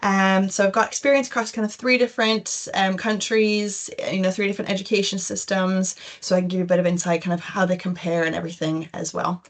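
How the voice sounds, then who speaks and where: Young Canadian woman, webinar host, chatty and informal, loosely phrased presentation, medium pitch. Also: flat delivery, fairly quick, few pauses. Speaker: webinar host, education recruitment consultant.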